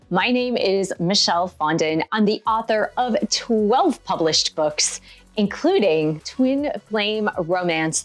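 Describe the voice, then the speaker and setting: A middle-aged woman with a slightly hoarse voice, hosting her own author vlog and speaking candidly and reflectively about her past relationships. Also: mid-range voice. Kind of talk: personal vlog talk to camera.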